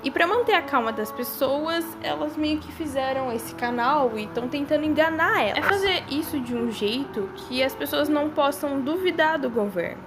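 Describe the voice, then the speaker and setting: A young adult woman with a Brazilian accent and a clearly high voice talks through horror theories. Her delivery is informal and conversational, like a casual commentary voice-over. Music plays clearly underneath her. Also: animated, moderate pace, hardly any pauses. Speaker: video creator. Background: background music.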